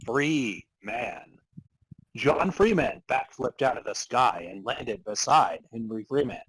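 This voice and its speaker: A man's voice: mid-range voice, animated, slow, fairly bright tone, slight background noise, rough recording. A man narrating a comic story in a theatrical way, prone to breaking into laughter. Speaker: narrator and podcast host. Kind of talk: dramatic reading of comic fan fiction.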